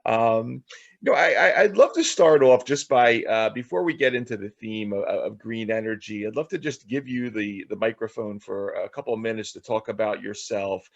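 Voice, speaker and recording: A man hosting an interview show, speaking in a halting way with stumbles and repeated words. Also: on the low side, gravelly, dry recording, lo-fi sound. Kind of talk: podcast interview introduction, reading a guest biography.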